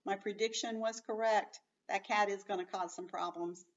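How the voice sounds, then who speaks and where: A woman's voice: mid-range voice, fairly even intonation, normal speed. A woman schoolteacher giving a read-aloud lesson to children, explaining things in simple words and commenting as she goes. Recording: dull sound, distant from the mic.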